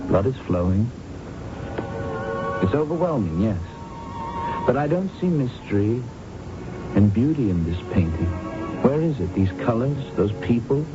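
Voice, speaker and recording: A man's voice: medium pitch, sing-song, unhurried, pauses often. An actor playing a courteous, earnest and sensitive male visitor in a radio drama, speaking politely and expressively. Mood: horrified. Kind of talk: 1970s radio drama acting and narration. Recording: muffled old radio broadcast, music behind.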